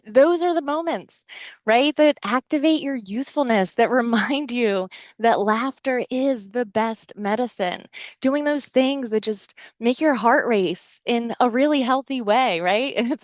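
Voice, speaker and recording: A woman's voice: a little high, no echo. Chatty American woman, dry close sound. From New Jersey shore, United States. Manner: chatty, encouraging, conversational asides with frequent "right?". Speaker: wellness podcast host. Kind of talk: casual motivational chat.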